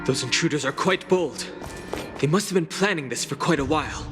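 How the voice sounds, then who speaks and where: A man's voice: a little high, brisk, music in the background, natural voice. An actor playing an alert young male adventurer in a video game, earnest, polite and concerned. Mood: concerned, focused. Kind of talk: dramatic video game acting.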